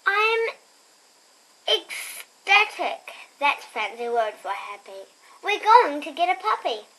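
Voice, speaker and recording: A young girl reading a picture book aloud expressively, with chatty, quieter asides to her listeners. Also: sits high, sing-song, slow, rough recording. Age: child. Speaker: storybook reader and host.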